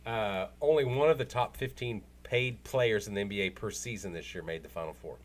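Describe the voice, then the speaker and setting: A man's voice: fairly low pitch, a little fast. Man rambling through casual sports talk. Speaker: sports talk show host. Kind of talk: casual unscripted sports chat.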